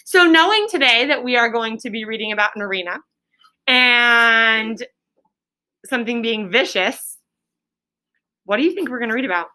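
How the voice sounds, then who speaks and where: A woman's voice: fairly high pitch, animated, normal speed, long pauses, bright, forceful tone. A woman teaching a classroom reading lesson to children, explaining things simply, repeating key words and prompting pupils with questions. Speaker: elementary school teacher.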